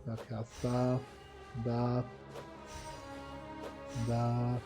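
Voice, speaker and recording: A man giving casual let's-play commentary, rambling and halting as he thinks aloud. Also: mid-range voice, completely flat delivery. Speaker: gaming commentator. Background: video game music.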